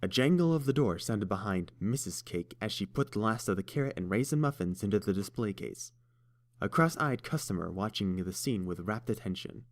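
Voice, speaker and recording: A man narrating a story in a steady, even storytelling manner. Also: on the low side, a little fast. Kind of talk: fan audiobook narration.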